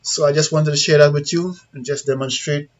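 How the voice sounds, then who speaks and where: A man's voice: fairly high pitch, steady tone, brisk. A man with a Trinidadian accent giving an informal hands-on tutorial, talking through each step in a plain-spoken, conversational way and checking in with viewers. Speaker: CCTV installer giving a tutorial. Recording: dull lo-fi sound with background noise.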